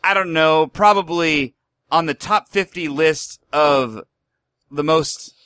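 Man, casual music chat, hesitant and filler-heavy; bright tone, dry close sound. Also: a little high, slow pace, smooth, no echo. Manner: hesitant, many fillers and restarts.

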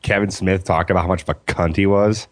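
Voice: sits low, fairly even intonation, fast talker, no room sound. A blunt, opinionated podcast co-host giving their view in casual chat.

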